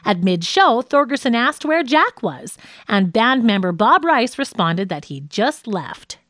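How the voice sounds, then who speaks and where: A woman reading scripted audiobook narration in an expressive manner. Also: on the high side, a little slow, dry recording, studio quality. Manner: reads quoted radio dialogue expressively.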